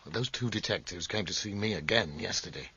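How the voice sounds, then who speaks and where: An actor playing an earnest, anxious, concerned friend with emphatic delivery in a radio drama. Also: male voice, deep voice, fairly quick, creaky, bright, forceful tone, husky, full character voice. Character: jittery, concerned musician friend, urging help. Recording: dull, slightly muffled radio recording.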